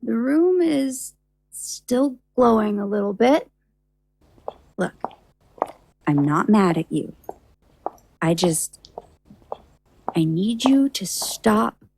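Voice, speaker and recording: A woman's voice: mid-range voice, expressive, drawn-out words, vocal fry, pauses often, a little mellow, dry recording, heavily acted, rough recording. An actress playing a weary, exasperated young woman with a dry, sarcastic manner in an audio drama. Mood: weary, irritated.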